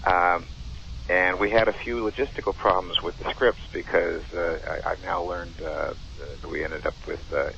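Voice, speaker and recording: Really low fry-voiced guy rambling through memories in an interview, stop-start, muffled slightly noisy audio. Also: fairly even intonation, bright, forceful tone. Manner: halting, rambling. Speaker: show director. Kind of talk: casual interview recollection. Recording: dull, faintly noisy recording.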